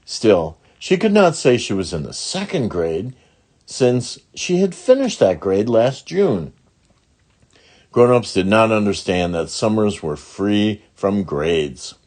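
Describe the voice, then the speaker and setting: A man reading a children's chapter book aloud in an expressive storytelling style. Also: lowish voice, takes it slowly. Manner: acts out character dialogue. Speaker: audiobook reader.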